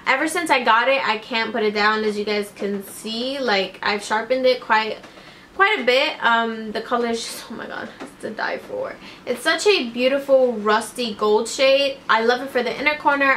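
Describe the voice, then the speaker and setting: A woman's voice: a little high, sharp tone, slight background noise. Gushing young woman beauty vlogger. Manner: gushing, upbeat, heavy use of "like" and "obsessed". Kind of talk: casual product review to camera. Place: small indoor room with string lights.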